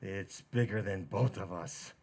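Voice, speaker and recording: Slow-talking, amorous butcher, radio comedy played by a man. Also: low voice, creaky, darkish tone, exaggerated voice. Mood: flirtatious, smitten. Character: amorous, cheesy butcher wooing a married woman. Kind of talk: old-time radio sitcom acting in community theater.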